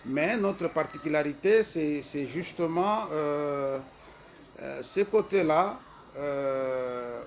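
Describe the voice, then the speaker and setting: Hesitant Congolese man, slow and earnest. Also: fairly high pitch. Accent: Congolese French. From Congo-Kinshasa. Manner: hesitant, many fillers; earnest, preacherly emphasis. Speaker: school representative. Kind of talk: formal address presenting a school. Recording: faint background noise.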